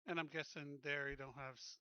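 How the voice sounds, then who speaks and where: A man's voice: fairly high pitch, fairly even intonation, brisk, pushed and bright, clear, resonant tone, no room sound, muffled recording. A man talking casually as a player in a tabletop roleplaying game.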